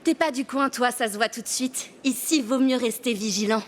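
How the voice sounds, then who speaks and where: A woman voicing a bright, friendly young girl in a French children's cartoon, with a spirited, expressive delivery. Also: a little high, normal speed, a little hiss or hum, close to an everyday voice. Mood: confident. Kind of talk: French animated children's series dubbing.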